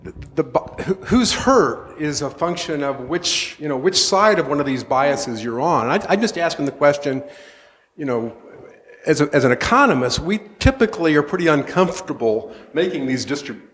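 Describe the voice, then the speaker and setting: A male economist speaks up from the audience, his voice sitting a little high and ringing in a reverberant hall. He stumbles through his question with repeated words and restarts. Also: lively intonation, fairly bright tone. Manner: halting, many restarts and hedges. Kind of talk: question from the floor. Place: conference hall.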